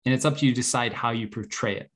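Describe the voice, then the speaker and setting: A man's voice: medium pitch, rapid-fire, fairly bright tone. A man hosting a group discussion, explaining and advising in an earnest, conversational way. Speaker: host guiding a group discussion.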